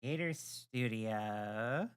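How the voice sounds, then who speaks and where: A woman's voice: rumbling low, expressive, drawn-out words, clean tone. A woman hosting a livestream with casual chat, stammering over repeated words and swearing freely. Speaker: livestream host.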